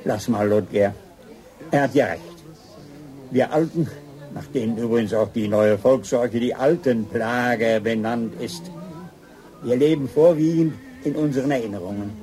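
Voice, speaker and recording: An older actor playing a gentle, thoughtful father in a German radio play, speaking in a measured way. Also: medium pitch, natural voice, low-quality recording. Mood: wistful. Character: gentle, reflective old father, loyal to daughter.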